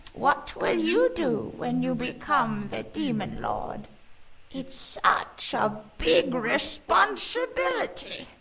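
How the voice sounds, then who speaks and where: Woman playing a high, swooping, teasing demoness. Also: very animated, slow, vocal fry, fairly bright tone, heavily acted, low-quality recording. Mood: smug teasing. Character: taunting, smug female demon, playful and sly. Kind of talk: visual novel voice acting. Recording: music under the voice.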